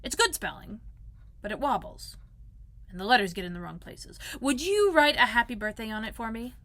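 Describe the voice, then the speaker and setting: A woman voicing a gentle, slow-witted male teddy bear in a storybook, earnest and pondering. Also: on the high side, expressive, dry recording.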